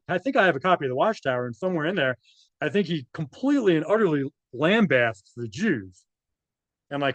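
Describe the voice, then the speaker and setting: A man's voice: fairly high pitch, no room sound. A man reading documents aloud and adding informal, conversational off-the-cuff commentary, often checking in with tag questions. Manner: conversational, often tags statements with "right?".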